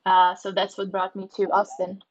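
Woman talking startups, lots of ums, pretty flat delivery, muffled lo-fi audio. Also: medium pitch, normal speed. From Czech Republic. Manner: frequent fillers, thoughtful. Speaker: startup founder guest. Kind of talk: casual interview answers.

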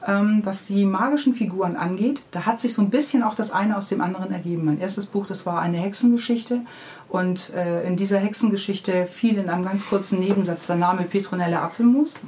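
A woman's voice: medium pitch, a little fast, a little mellow, a little hiss or hum. A woman who writes children's books, answering interview questions in an unscripted, conversational way. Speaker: children's book author being interviewed.

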